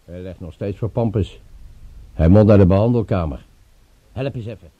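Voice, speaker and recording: Husky, sneering thug played by a man. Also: lowish voice, normal speed, phone-quality sound, natural voice. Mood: brisk. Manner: colloquial, sneering. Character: coarse, mocking male thug and henchman. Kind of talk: radio drama acting.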